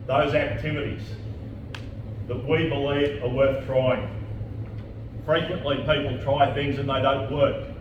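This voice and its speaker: A man makes an earnest campaign speech as a mayoral candidate, stressing his points in short, repeated phrases. He is recorded from a distance in a very echoey room. The sound is muffled, with steady background noise. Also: medium pitch. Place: large echoey function room.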